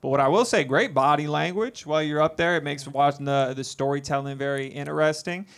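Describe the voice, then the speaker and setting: A man's voice: a little high, brisk, fairly bright tone. A young man co-hosting a comedy show, giving rambling, laid-back feedback into a handheld mic in a club. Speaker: judge and co-host. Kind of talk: casual feedback.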